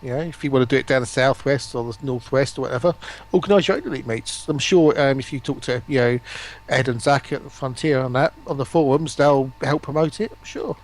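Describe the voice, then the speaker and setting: A man's voice: mid-range voice, brisk, hardly any pauses. A man, a streamer guesting on a casual chat among gamers.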